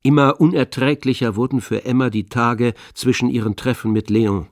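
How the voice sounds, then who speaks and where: A man narrating a literary radio drama in measured, deliberate phrases. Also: medium pitch, steady tone, dry recording, studio quality.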